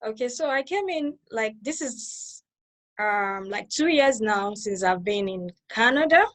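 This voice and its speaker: A woman's voice: a little high, animated, measured pace, a bit bright. A woman from Lagos with a Nigerian accent, being interviewed over a video call and explaining things conversationally, circling back to clarify. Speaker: interviewee, new church member. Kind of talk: conversational personal account.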